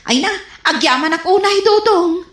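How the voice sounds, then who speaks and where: A woman's voice: extremely high pitch, animated, fairly quick, fairly bright tone. A woman playing a flustered, defensive wife and dutiful daughter in a radio comedy drama, with an Ilocano accent. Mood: pleading, grateful. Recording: echoey, faint background noise.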